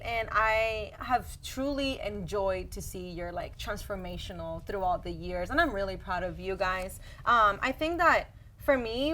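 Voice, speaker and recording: A young woman hosts a relaxed podcast chat with a somewhat high voice. She talks encouragingly and keeps checking in with her guests, often ending thoughts with "right?". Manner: warm encouraging, many "like" and "right?" tags. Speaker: podcast host. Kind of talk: casual heartfelt interview chat.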